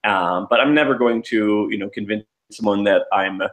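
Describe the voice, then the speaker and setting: A man's voice: lowish voice, normal speed, a bit bright. A man co-hosting a casual podcast chat, rambling with many restarts and fillers. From United States. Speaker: podcast co-host.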